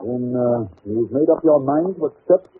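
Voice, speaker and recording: An actor playing a shrewd, grave gentleman detective in an old-time radio drama, speaking deliberately and theatrically. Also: medium pitch, animated, husky, narrow, tinny audio. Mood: musing. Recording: noisy, thin old radio recording.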